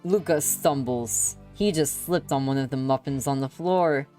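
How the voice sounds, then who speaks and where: A woman's voice: extremely low pitch, a little slow, warm tone. A woman reading a story aloud on a stream with dramatic, playful expression. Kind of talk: expressive live story narration. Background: background music.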